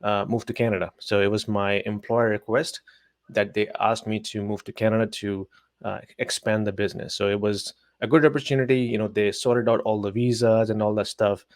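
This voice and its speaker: A man's voice: lowish voice, steady tone, a little fast, dry recording, clean studio sound. A man in his forties from Pakistan, a telecom executive, giving thoughtful interview answers with many hesitations.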